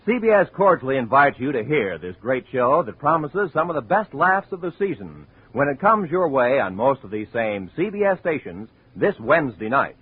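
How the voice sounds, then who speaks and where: A man's voice: mid-range voice, very animated, normal speed, hardly any pauses, slightly rough, dry recording. A man with an American accent announcing in the polished, formal scripted style of 1950s network radio. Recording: muffled narrow-band old radio recording.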